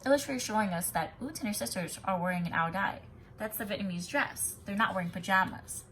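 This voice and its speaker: A woman teacher reading a children's story expressively and pausing to explain it in an instructive, emphatic way. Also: mid-range voice, fairly bright tone, a little hiss or hum, poor audio. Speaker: kindergarten teacher.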